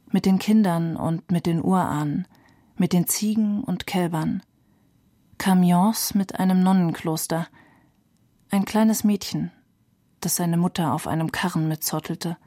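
A woman's voice: on the low side, a little mellow, no echo, studio quality. A woman reading a literary radio feature as a young male refugee narrator, proud, wry and detached. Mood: sober, wry. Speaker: reader of a literary novel.

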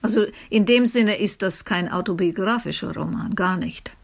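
A woman literary scholar explaining in an interview, halting and searching for words, often repeating phrases. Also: mid-range voice, gravelly.

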